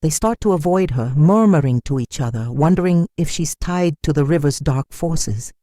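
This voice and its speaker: Folktale narrator, mid-pitched and mellow, solemn dramatic read, very dry recording. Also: expressive, normal speed, dry recording. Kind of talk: dramatic scripted storytelling narration.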